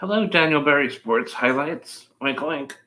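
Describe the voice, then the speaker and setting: A man's voice: a little high, normal speed, sharp tone. A man hosting a cooking livestream, rambling casually with playful, silly asides. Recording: echoey room.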